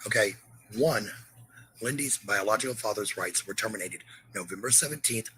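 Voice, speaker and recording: A woman's voice: rumbling low, moderate pace, a bit bright. Confrontational woman, noisy recording. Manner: confrontational, profane. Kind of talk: combative livestream rant. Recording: noisy livestream audio.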